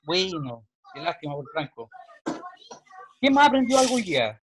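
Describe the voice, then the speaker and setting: A man's voice: a little high, very animated, normal speed, noisy background. A man in his forties with a Chilean accent, a history teacher explaining patiently over a video call, often restarting his sentences. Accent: Chilean Spanish. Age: 40s. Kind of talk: online class wrap-up.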